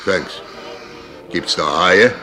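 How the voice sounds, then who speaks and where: An actor playing a gruff, folksy old sea captain in a German radio drama, blunt and muttering, with the odd English sailor phrase. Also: male voice, low voice, extremely slow, pushed and bright, long reverb tail, heavily acted. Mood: probing.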